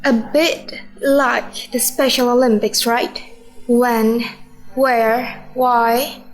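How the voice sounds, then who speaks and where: A woman's voice: fairly high pitch, level tone, soft background music, rough recording. A woman playing a sardonic wife who questions her husband in a radio drama. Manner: sarcastic, deliberate, questioning.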